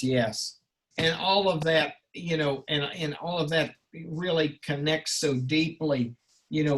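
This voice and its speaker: A man leading an informal seminar discussion as the instructor, effusive and erudite, rambling and joking, with a slightly husky voice. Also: mid-range voice, a bit bright. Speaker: instructor leading an online class session.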